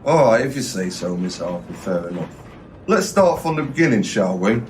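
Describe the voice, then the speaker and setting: A man's voice: lowish voice, sing-song, moderate pace, a little mellow. A blunt male detective for an audio play, officious and sardonic as he questions a witness. His delivery is formal and cynical, with some background noise behind it. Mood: officious, dry. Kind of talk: audio drama acting.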